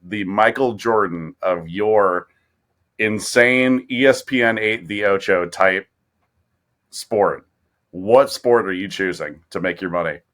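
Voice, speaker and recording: A man with a slightly low voice chats as a podcast co-host. He is casual and wry, feeling his way through sentences in a halting manner. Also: a bit bright. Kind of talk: casual comedic podcast chat.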